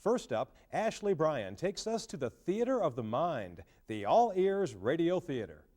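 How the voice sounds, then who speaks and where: A man hosting an arts program, reading scripted links. His voice is a bit high and moves widely in pitch. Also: very animated, a little slow, dark tone. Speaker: TV arts show host. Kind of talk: scripted presenting.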